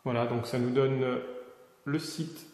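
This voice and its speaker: A man's voice: medium pitch, steady tone, a little mellow, soft background music. Hesitant man reviewing a gadget, echoey room. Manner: hesitant, pausing mid-sentence. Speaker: tech product reviewer. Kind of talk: unscripted product demonstration commentary.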